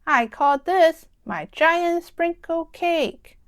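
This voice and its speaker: A woman voicing an excited, sweet young girl pig in a children's storybook, eager and childlike. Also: very high voice, extremely slow, pitched above the performer's own voice, heavily acted. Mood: proud.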